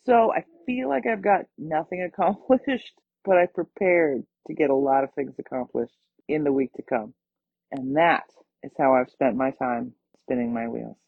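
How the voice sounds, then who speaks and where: A tired woman with a hoarse, scratchy voice, hosting her own podcast in a chatty, upbeat way. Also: rumbling low, moderate pace, phone-quality sound. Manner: chatty, upbeat, with small laughs. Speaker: podcast host. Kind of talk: casual solo podcast talk.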